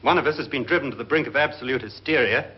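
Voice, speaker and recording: An actor playing a grave, suspicious guest in a haunted house, speaking formally and deliberately in 1950s horror film style. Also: male voice, mid-range voice, natural voice. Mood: grave, foreboding. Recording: muffled 1950s film soundtrack.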